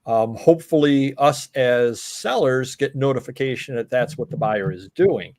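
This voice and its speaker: Middle-aged host, upstate New York accent, chatty and joking, dry close sound, mid-pitched. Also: male voice, moderate pace, darkish tone, dry recording. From Cleveland, Ohio. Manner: chatty, jokey, rambling with fillers. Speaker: host of a reselling show. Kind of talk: casual live-stream chat.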